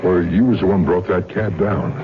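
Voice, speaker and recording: An actor playing a folksy, shaken small-town American sheriff in an old-time radio drama, with hesitant rural speech. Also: male voice, sits low, normal speed, noisy background, close to an everyday voice. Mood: sheepish, puzzled. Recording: dull vintage radio recording with loud music.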